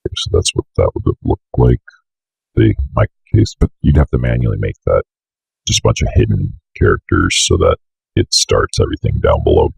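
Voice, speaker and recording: A young man giving a casual, offhand screencast tutorial with some swearing, in a very deep, fry-laden voice close to a desk mic. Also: fairly quick, no room sound, rough recording. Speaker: tutorial host. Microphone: close desk microphone on an arm.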